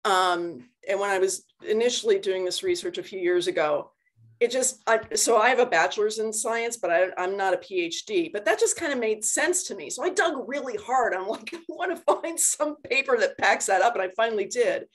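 A woman's voice: medium pitch, brisk. Woman lecturer speaking informally on a video call. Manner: conversational, explains with everyday analogies. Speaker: university lecturer giving a talk. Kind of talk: informal slide lecture and answers. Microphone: video call computer microphone.

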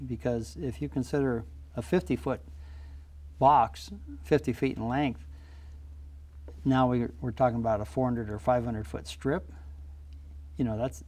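A man's voice: mid-range voice, darkish tone. An older man, a pilot, explaining flying practice in a plainspoken, practical way in a small TV studio interview on a lapel mic. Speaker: guest pilot.

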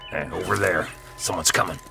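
An actor playing a tough, crude American frontier outlaw in a western game, folksy and matter-of-fact. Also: male voice, low voice, fairly even intonation, a little fast, creaky. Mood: low, terse. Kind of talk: video game western acting. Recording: music behind the voice.

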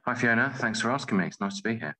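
A man in his forties talking casually as an interview guest, halting and often interrupting himself. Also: medium pitch, expressive, bright, forceful tone. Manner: halting, self-interrupting, informal. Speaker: guest, playwright.

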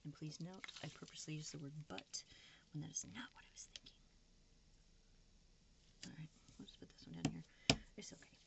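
A woman with a creaky voice rambles casually and candidly to her viewers, poking fun at herself and half talking to herself as she crafts at a table. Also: steady tone, brisk, long pauses, in a whisper. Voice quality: low, creaky voice. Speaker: craft vlogger. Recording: noisy room recording with background hiss.

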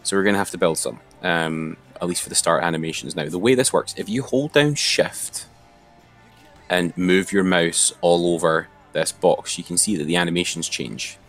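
A man talking someone through software step by step in an informal, hesitant way, thinking aloud. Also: sits low, moderate pace, soft background music. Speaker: software tutor. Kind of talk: informal step-by-step screen tutorial.

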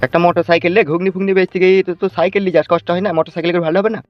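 Guy doing a pushy village dad in a cartoon, high voice, talks fast, dull audio with music. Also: little pitch movement, no echo, natural voice. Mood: chatty, pushy. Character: brash, pushy village father of groom, comic cartoon. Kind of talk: comic cartoon dialogue in rural speech.

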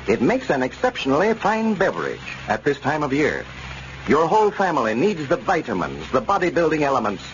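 A man with an American accent announcing and narrating in the scripted style of vintage radio. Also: on the high side. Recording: muffled, noisy old radio broadcast.

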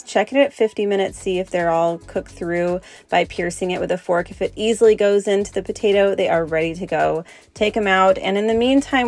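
A young adult woman, a cooking channel host, giving relaxed, friendly step-by-step instructions in a close-miked voice-over. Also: medium pitch.

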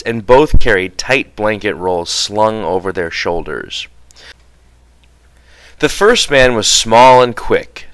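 A man narrates a novel as an audiobook reader. His voice sits fairly low, and the sound is dry, with very little room in it. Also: a bit bright, clear, resonant tone, no echo.

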